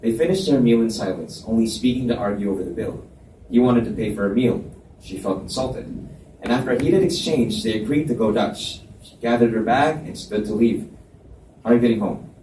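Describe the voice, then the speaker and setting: A man's voice: medium pitch, fairly quick, warm tone. A man reading scripted romance fiction aloud as the narrator at a live book reading. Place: library event space, indoors. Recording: echoey room, distant mic, faint noise.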